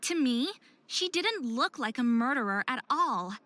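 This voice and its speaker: A woman's voice: high voice, animated, measured pace, bright, forceful tone, dry recording, heavily acted. A young woman voicing a hot-headed, distraught young faerie skater in a visual novel game, with a dramatic, emphatic delivery. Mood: defensive. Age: young adult.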